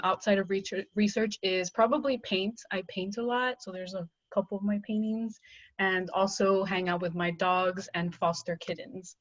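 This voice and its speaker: A young woman from Miami introduces herself warmly over a video call. The sound is very dry and close, with no room around it, and slightly muffled, and her pitch sits in the middle range. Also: no room sound. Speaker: PhD student panelist. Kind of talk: casual self-introduction.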